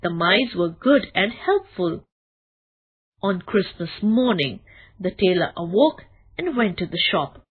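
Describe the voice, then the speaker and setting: Woman reading a kids bedtime story, slow n expressive, bit husky, muffled audio. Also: mid-range voice. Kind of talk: scripted children's bedtime story narration.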